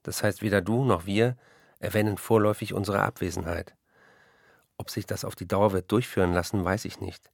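A man's voice: low voice, steady tone, brisk, vocal fry, no room sound, studio quality. A man reading the letters and memoirs of a weary, despairing middle-aged writer in a subdued, resigned manner. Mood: worried. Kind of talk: broadcast documentary reading.

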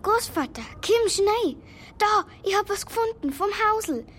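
A woman's voice: very high-pitched, lively intonation, a little slow, fairly bright tone, slight background noise, heavily acted. An actress playing an emotional young country girl in a radio play, with a Bavarian accent. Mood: excited, urgent. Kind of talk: German radio play acting.

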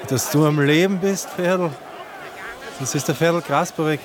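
An actor playing an earnest, plain-spoken companion in flight in a radio drama. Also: male voice, fairly high pitch, measured pace, crowd noise, natural voice. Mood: moved. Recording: noisy outdoor street scene.

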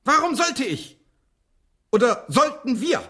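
An actor in a German radio play voicing a proud, indignant English playwright, declamatory and sardonic. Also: male voice, very high voice, sing-song, slow pace, bright, forceful tone, slightly rough, exaggerated voice. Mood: defensive, proud.

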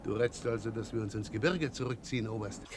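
Actor as a proud rebel landowner, noisy old recording. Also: male voice, on the low side, fast, natural voice. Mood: measured, challenging. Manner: declamatory, formal, resolute. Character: defiant Creole landowner leading a rebellion, proud, impulsive. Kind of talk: German radio play acting.

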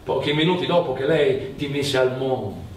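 A man's voice: medium pitch, animated, normal speed, lightly acted. A man voicing a motherly talking nanny goat in a stage folk tale. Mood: solemn. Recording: roomy, distant mic, faint noise.

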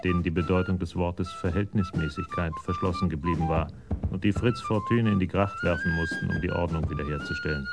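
An actor narrating a police radio drama in a measured, literary storytelling manner. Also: male voice, low-pitched, wildly swooping pitch, full character voice. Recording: music under the voice.

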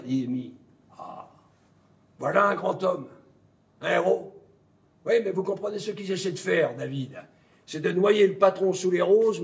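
Brash army interrogator, theatrical, sardonic and bullying, fry in the voice, heard from a distance. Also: male voice, fairly high pitch. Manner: blunt, sarcastic, colloquial, swearing. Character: brash, coarse, domineering American army major, interrogator. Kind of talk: theatrical French stage acting. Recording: distant echoey stage pickup.

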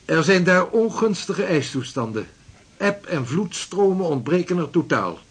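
Husky-voiced navigator. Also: male voice, high-pitched, big pitch swings, a little slow, exaggerated voice. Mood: factual. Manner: formal, explanatory. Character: matter-of-fact seasoned navigator advising merchants. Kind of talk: period radio drama acting.

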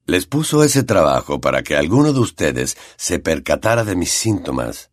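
A man voicing a weary, gentle male teacher who is secretly a werewolf, speaking quietly and calmly. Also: low-pitched, moderate pace, no room sound, studio quality, pitched below the performer's own voice. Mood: calm pleading. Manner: quiet, calm, soothing.

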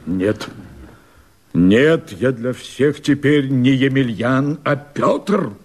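An actor playing a fiery rebel leader who proclaims himself emperor, declaiming verse in a radio play with husky, impassioned, theatrical oratory. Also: male voice, medium pitch, animated, drawn-out words, a little mellow. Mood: anguished, heavy.

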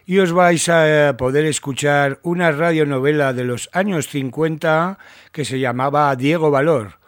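A man's voice: fairly high pitch, measured pace, dry recording, studio quality. A man with an accent from Spain presenting a music radio show in a casual way. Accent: Spanish from Spain.

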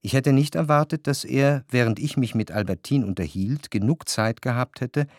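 A male actor as the reflective first-person narrator of a literary radio drama, speaking in a measured way. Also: medium pitch, no echo, clean studio sound, natural voice. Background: faint music.

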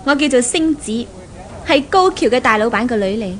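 A woman's voice: on the high side, lively intonation, fairly quick, a bit bright. An actress playing a spoiled, jealous, bossy young rich woman in a 1970s Cantonese radio drama with a Hong Kong accent. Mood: smooth, coaxing. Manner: haughty, bossy. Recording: 1970s radio recording, faint noise.

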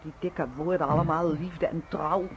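A woman acting an anguished, bitter middle-aged mother in a radio drama, with a husky voice and emotional delivery. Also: sits low, fairly even intonation, moderate pace, slight background noise, lightly acted. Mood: bitter, mocking. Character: bitter, anguished working-class mother of soldier son. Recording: dull old radio recording.

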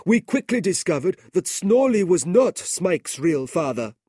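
A man's voice: big pitch swings, unhurried, a little mellow, slightly rough, no room sound, clean studio sound, heavily acted. A man doing an earnest old merchant. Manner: earnest and raised in pitch. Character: kindly elderly merchant, earnest and firm.

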